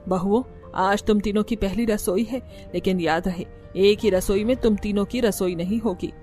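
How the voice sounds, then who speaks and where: A woman's voice: medium pitch, steady tone, normal speed, mellow, soft background music, close to an everyday voice. A woman voicing a stern middle-aged mother-in-law who gives her daughters-in-law orders in a matter-of-fact way. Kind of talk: cartoon voice acting.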